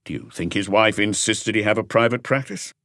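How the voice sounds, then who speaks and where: Man reading a terse, low-pitched police chief. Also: a little fast, fairly bright tone, no echo, clean studio sound. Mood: probing. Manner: terse, inquisitive. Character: calm male police chief, terse probing questioner.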